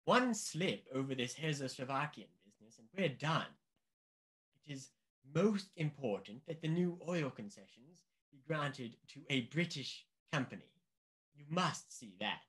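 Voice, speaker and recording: An actor playing a pompous, condescending British government official in a radio play, speaking formally and emphatically. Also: male voice, a little high, animated, measured pace, fairly bright tone. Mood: urgent, pompous.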